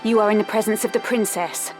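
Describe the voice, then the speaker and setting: A woman's voice: medium pitch, steady tone, fast talker, lightly acted, low-quality recording. An actress playing a haughty female knight in a fantasy game, commanding and formal in archaic speech, over music. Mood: indignant command. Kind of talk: dramatic fantasy game voice acting. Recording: game clip under loud music.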